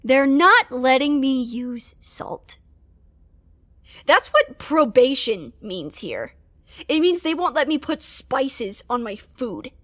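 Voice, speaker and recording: A woman's voice: high-pitched, very animated, slow pace, a bit bright, exaggerated voice. An actress playing a small, hot-tempered female field agent, venting sulkily and indignantly in an audio drama monologue. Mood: sulky, indignant. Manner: sulky, sweary, indignant venting.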